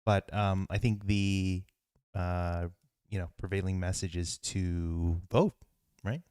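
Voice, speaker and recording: A man's voice: sits low, fairly even intonation, slow, darkish tone, smooth, no echo, clean studio sound. A man co-hosting a podcast in casual chat, rambling with lots of fillers and restarts. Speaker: podcast co-host.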